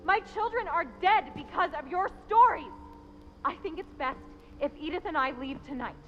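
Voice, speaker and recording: A woman's voice: extremely high pitch, normal speed, a bit bright, highs cut off, a little hiss or hum. An actress playing a firm, exasperated middle-aged mother in a dramatic story video game. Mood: angry, resolute. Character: strained, protective adult mother and granddaughter.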